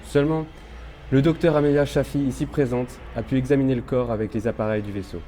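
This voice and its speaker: A man's voice: mid-range voice, fairly even intonation, quick pace, dark tone, a little hiss or hum, close to an everyday voice. A young man playing a stern, formal spaceship officer in a science-fiction audio drama. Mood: grave, formal. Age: young adult. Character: stern male spaceship officer questioning an accused crewmate.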